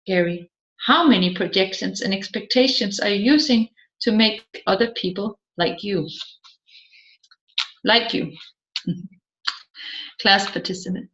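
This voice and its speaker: Slow-paced woman narrator, roomy sound. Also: mid-range voice, fairly bright tone. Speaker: audiobook narrator. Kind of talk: scripted audiobook narration of a seminar transcript. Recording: slightly dull, roomy echoing sound.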